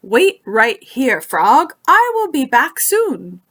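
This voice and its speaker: Brief: excitable storybook toad, put on by a woman reader, bright and lively. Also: takes it slowly, full character voice. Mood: cheerful. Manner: eager, turns to high frantic cries when panicked. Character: friendly, excitable male toad.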